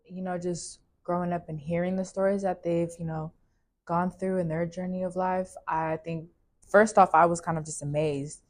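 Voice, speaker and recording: A young woman from California speaks in a low, fairly flat voice. She talks at an easy pace and leans on casual fillers as she tells her story in an interview. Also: normal speed. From California, USA. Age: young adult. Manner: relaxed, with many fillers like "like" and "you know".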